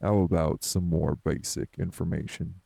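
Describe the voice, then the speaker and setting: A man playing a morose older wraith in an audio drama, blunt, folksy and scolding, with a husky, gravelly voice. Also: little pitch movement, moderate pace, no room sound, heavily acted. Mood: gruff, challenging. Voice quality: very gravelly, husky, dark low voice. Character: morose older male wraith, rural homebody, stern but hospitable. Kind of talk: dramatic audio roleplay acting.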